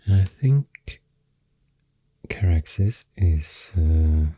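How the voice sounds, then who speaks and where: A woman with a Swedish accent doing soft-spoken ASMR rambling, breathy and whispery, hesitant and unhurried, close to the microphone. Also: a bass voice, expressive. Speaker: ASMR artist. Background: soft pencil sounds.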